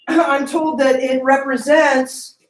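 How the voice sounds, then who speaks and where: A woman's voice: sits high, steady tone, slow, echoey room. A woman giving a classroom lecture, often asking the class questions and checking in with "okay?" and "right?".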